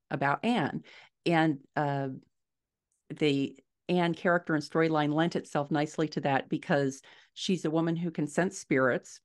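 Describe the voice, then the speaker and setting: Woman, middle-aged, low register. Author guest, explaining in a conversational interview style. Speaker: podcast guest, fiction author. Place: indoors at home, small furnished room. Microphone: video call.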